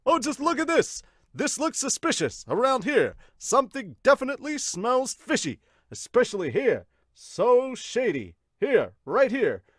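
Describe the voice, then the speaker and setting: A man voicing a doting, goofy male comic-book schoolteacher, with exaggerated drawn-out words and an odd put-on accent. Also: high voice, very animated, dry recording, clean studio sound, voice pushed up. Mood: sly, hinting. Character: doting, goofy male schoolteacher dropping hammy exam hints to students. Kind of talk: comic read-aloud of manga dialogue.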